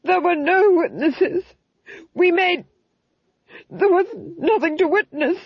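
A woman's voice: very high-pitched, animated, slow, dry recording, dull audio, voice pushed up, heavily acted. A woman voicing a nervous, tyrannical male Duke, agitated and strained, in an audiobook. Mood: panicked fury. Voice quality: strained, husky. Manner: agitated, hissing and screaming. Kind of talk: audiobook character voice.

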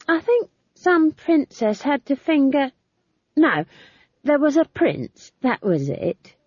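A woman's voice: high-pitched, big pitch swings, slow pace, a little mellow, no echo, lo-fi sound, pitched above the performer's own voice, exaggerated voice. A woman voicing a bawdy, cheerful old village witch who rambles and gossips, reading for an audiobook. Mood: rambling. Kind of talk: audiobook character voice.

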